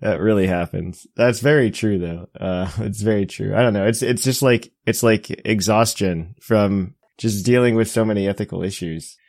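Male podcast host, lower register, dry close recording, self-deprecating casual chat. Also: moderate pace, creaky, few pauses, darkish tone, no echo.

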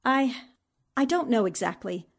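A plucky newspaperwoman from a 1940s radio mystery, played by an actress. Her voice sits fairly high. She speaks earnestly and dramatically, with plenty of determination. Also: animated, a little slow. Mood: shaken, recounting.

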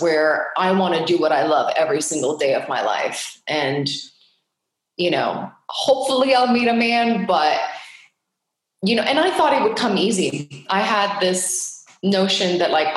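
A woman from Switzerland talking casually and reflectively about herself as an interview guest, with hesitant phrasing. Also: on the low side. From Geneva, Switzerland. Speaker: podcast guest, actress. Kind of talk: casual personal storytelling in an interview. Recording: very echoey room.

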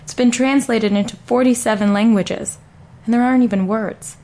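A woman playing a composed, earnest correspondent conducting a deadpan parody news interview. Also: a little high, normal speed, natural voice.

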